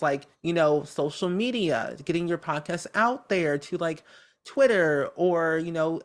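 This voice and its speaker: Cheery talkative creator on a podcast, kinda high voice but medium tone, rambly casual chat. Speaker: podcast guest, content creator.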